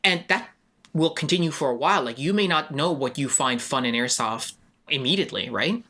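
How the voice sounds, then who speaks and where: A man co-hosting a podcast in a studio, chatty and quick to laugh, asking the guest questions. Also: on the high side, lively intonation, bright, forceful tone. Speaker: podcast co-host. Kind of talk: casual chat.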